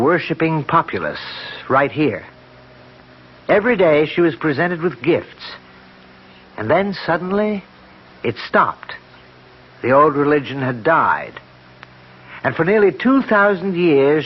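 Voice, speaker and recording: An actor playing a brooding, eccentric man in a 1970s radio drama, speaking in halting, portentous phrases. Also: fairly high pitch, slightly rough, exaggerated voice. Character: brooding, ominous, eccentric male believer in ancient gods. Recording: muffled 1970s radio broadcast.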